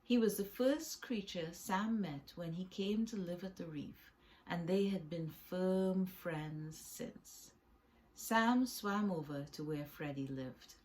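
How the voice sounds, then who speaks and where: A woman with a slightly low voice reads a children's story aloud. She narrates as the book's author, telling it for young listeners. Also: slow, darkish tone, rough recording. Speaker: author reading own children's book.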